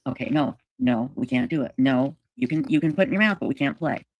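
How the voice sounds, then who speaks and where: A woman's voice: extremely low pitch, quick pace, no room sound, muffled recording. A woman guest on a livestream telling a story casually, with lots of fillers and restarts. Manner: rambling, filler-heavy, apologetic.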